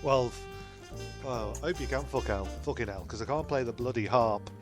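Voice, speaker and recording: A man's voice: medium pitch, moderate pace, over loud music, lightly acted. A man voicing a blustering, sweary castaway in a comic audio story, flustered and repeating himself. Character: blustering, sweary bloke among shipwrecked lads.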